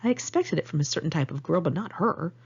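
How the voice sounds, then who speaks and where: A woman's voice: low voice, animated, fast, gravelly, darkish tone, slightly rough. A woman narrating her own memoir in a candid, conversational way, like an amateur audiobook read in one take. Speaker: author reading own memoir. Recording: muffled lo-fi amateur recording.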